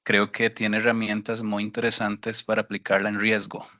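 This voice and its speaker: A man's voice: on the low side, completely flat delivery, normal speed, bright, forceful tone. A man from Costa Rica asking a question at a workshop, hesitant and searching for words. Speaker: workshop participant. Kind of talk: audience question.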